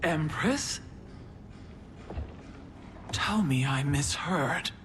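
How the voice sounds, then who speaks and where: Deep female voice, slow, loyal warrior queen, grave, earnest, game cutscene. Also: expressive, a bit bright, slight background noise, exaggerated voice. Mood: disbelieving, reproachful. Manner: grave, measured. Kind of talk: dramatic video game cutscene acting.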